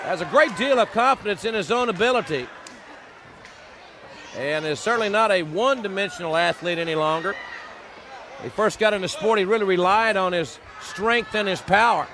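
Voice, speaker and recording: High-pitched American wrestling commentator man. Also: normal speed, noisy background. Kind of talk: live play-by-play sports commentary. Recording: old TV broadcast with music and crowd behind. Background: music and arena crowd.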